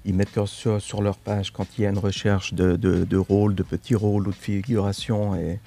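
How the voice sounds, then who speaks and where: Hesitant man with a mellow voice. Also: on the low side, little pitch movement, moderate pace, gravelly, soft background music. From Switzerland. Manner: hesitant, repeats words, soft trailing phrases. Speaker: actor, studio guest. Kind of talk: radio interview.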